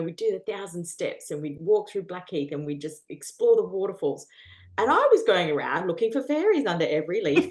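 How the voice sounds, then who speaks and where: An Australian woman, a guest in a casual interview, talking earnestly with lots of fillers and frequent laughter. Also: medium pitch.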